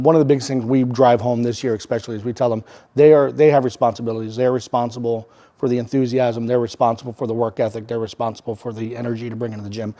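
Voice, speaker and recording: A man's voice: medium pitch, dark tone. A man speaking as a coach, firm and emphatic as he repeats his points. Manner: firm, emphatic about responsibility. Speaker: volleyball coach. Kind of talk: interview answers.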